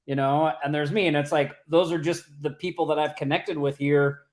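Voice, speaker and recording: A man's voice: on the high side, steady tone, moderate pace. A man from Oklahoma co-hosting a casual livestream chat, talking loosely with many fillers and restarts. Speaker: co-host. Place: home or office in Las Vegas.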